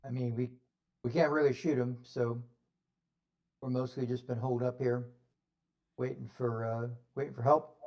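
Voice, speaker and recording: A man voicing a skeptical female marine corporal, a Russian who talks with a Southern drawl, in a hesitant, halting, dry way. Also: mid-range voice, little pitch movement, darkish tone, voice pushed down, lightly acted. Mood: weary.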